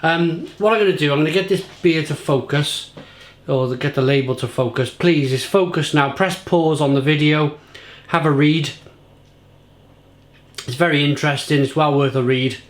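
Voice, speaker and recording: Man, beer review vlog, gushing and rambling, a bit croaky, fairly high voice, roomy echo. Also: normal speed. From England. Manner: enthusiastic, rambling, repeats himself. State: slightly croaky. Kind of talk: casual tasting review to camera.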